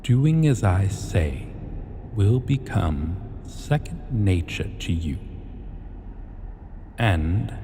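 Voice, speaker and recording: Guy doing a seductive menacing dragon king for asmr fantasy roleplay, dark mellow voice that swoops around, bossy and formal, noisy background. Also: low-pitched, full character voice. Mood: commanding. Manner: slow, commanding, formal, deliberate. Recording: long cavernous reverb, clear background noise.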